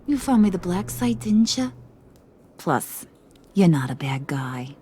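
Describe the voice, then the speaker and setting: A woman's voice: fairly low pitch, big pitch swings, takes it slowly, a little hiss or hum. An actress playing a cocky, volatile female gang boss in a video game, American, teasing and slangy, slipping from singsong to sinister. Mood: sly, threatening. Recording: loud game music underneath.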